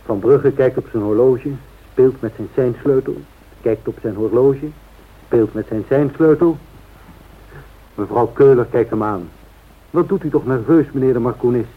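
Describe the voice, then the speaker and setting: Man playing husky airline captain, tinny sound. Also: mid-range voice, moderate pace, pauses often, close to an everyday voice. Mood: amused, wry. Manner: wry, chatty storyteller. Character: genial male airline captain telling anecdotes. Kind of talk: radio play acting. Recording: tinny narrow-band old radio recording.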